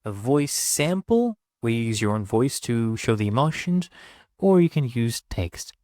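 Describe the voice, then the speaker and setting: A man presenting a step-by-step software tutorial in an informal, instructional way. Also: medium pitch, big pitch swings, a little slow, no echo, clean studio sound.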